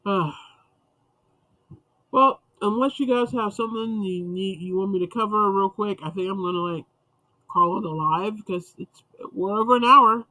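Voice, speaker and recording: A man's voice: high-pitched, normal speed, sharp tone, dry recording, low-quality recording. A man hosting a casual livestream chat, rambling and chatty with frequent restarts, sounding congested and sniffly. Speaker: livestream host.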